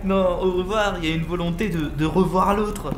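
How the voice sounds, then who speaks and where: A man's voice: high voice, fairly even intonation, normal speed, reverberant, noisy background, lightly acted. A man acting an odd, rambling wordplay lover in a French audio drama. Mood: musing, amused.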